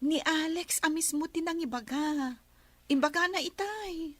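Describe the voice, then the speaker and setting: A cheerful young village daughter who laughs easily, as a woman plays her. Her voice sits high. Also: measured pace, no echo. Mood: gossiping.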